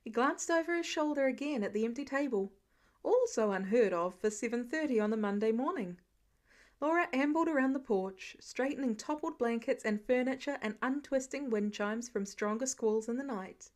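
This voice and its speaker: Female podfic narrator, higher-pitched voice, scripted story reading. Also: normal speed. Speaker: podfic reader.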